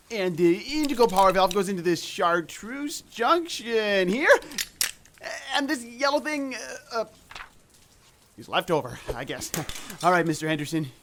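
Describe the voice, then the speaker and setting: Geeky nervous lab assistant, high voice, fussy, bit of noise behind, radio drama. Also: male voice, fairly bright tone. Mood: fussy, unsure. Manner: hesitant, fussy, eager.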